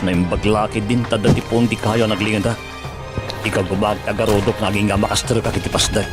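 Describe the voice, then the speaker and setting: Man acting a dogged hero under loud music. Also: on the low side, little pitch movement, fairly quick, natural voice. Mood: tense murmuring. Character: dogged undercover male hero infiltrating a drug gang. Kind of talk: Ilocano radio-style action drama acting. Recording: loud music and effects behind voice.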